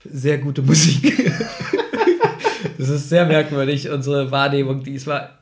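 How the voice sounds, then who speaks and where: A man's voice: mid-range voice, flat delivery, measured pace, a little hiss or hum. A man co-hosting a podcast in casual, conversational chat, chiming in with opinions and corrections on an audio drama. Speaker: podcast co-host.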